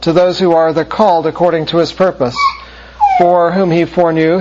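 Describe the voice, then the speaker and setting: A man's voice: sits high, darkish tone. Man teaching a Bible class, lo-fi. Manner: measured, deliberate reading; halting with fillers when lecturing. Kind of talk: scripture reading then class lecture.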